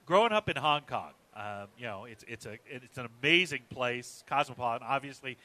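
A man's voice: medium pitch, expressive, brisk, sharp tone. A man hosting a live sports radio coaches show from a hotel casino restaurant, affable and chatty. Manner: affable, reads live ad copy.

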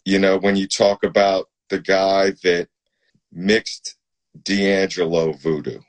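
A man's voice: low voice, flat delivery, takes it slowly. A man hosting a casual live-stream interview, talking in an admiring, emphatic way. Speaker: host.

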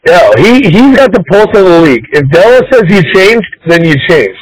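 A man's voice: high voice, sing-song, moderate pace, rough recording. A man hosting a casual podcast, teasing and goading his guest with mock indignation. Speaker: podcast host. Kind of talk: casual bantering interview.

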